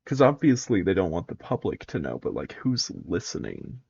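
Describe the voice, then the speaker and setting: A man's voice: deep voice, sing-song, normal speed, gravelly, a little mellow, breathy edge, no echo, highs cut off. A man giving casual reaction commentary in a musing, wry manner. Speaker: reaction video host.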